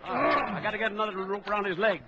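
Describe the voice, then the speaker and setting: An actor playing a bold big-game trapper in an old children's adventure record, briskly instructing and giving orders. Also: male voice, sits high, animated. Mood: tense, commanding. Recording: dull old record with background crowd noise.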